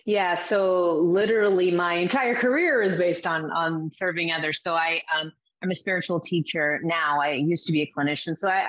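Low voiced woman guest, thinks out loud, lots of restarts, kinda muffled recording. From Azores, Portugal. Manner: reflective, with frequent restarts and fillers. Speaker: guest, spiritual teacher and coach. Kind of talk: interview answers. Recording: dull lo-fi sound.

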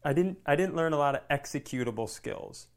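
Guy comedian on a podcast, kinda higher voice, animated casual banter, swears and exaggerates. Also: brisk, creaky. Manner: animated, exaggerating, profane storytelling.

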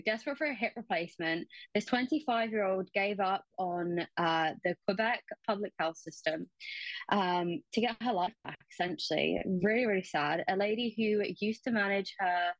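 A woman's voice: mid-range voice, normal speed, dry recording, rough recording. A woman from the UK presenting news stories as a fill-in contributor, informal and searching for words. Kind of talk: casual news story chat.

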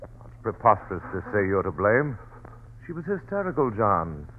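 A composed family doctor who speaks in a low, reassuring tone. His voice is lively in pitch, in measured old-time radio delivery. The old broadcast sound is thin, with faint background hiss. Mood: soothing. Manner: steady and reassuring. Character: calm, soothing family doctor. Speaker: actor in radio drama. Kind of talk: 1940s radio acting. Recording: tinny old radio recording.

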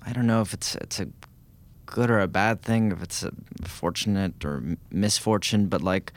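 Deep voiced guy, lots of uhs n restarts, earnest, podcast chat. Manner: halting, many restarts and fillers, earnest. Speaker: podcast guest.

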